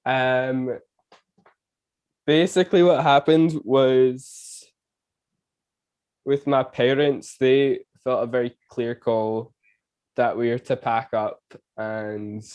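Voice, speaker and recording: Brief: Scottish-accented male podcast host, chatty, mellow warm tone. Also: medium pitch. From Canada. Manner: chatty, laughs between phrases. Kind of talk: casual conversation.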